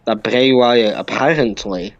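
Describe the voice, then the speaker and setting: A man's voice: mid-range voice, measured pace, lo-fi sound. A man hosting a wrestling podcast, chatting casually and arguing with exclamations.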